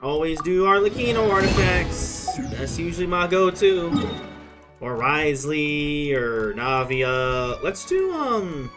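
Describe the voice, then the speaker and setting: A young adult game streamer with a mid-range voice that swings up and down freely. The talk is casual, rambling and full of slang and swearing, with game music clearly behind it. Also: mid-range voice, big pitch swings, takes it slowly. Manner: slangy, profane, rambling to self. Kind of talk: casual gameplay commentary.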